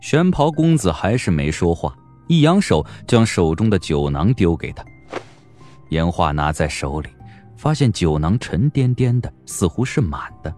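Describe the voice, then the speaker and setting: A man narrating a period audio drama in a measured, literary storytelling style. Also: lowish voice, darkish tone.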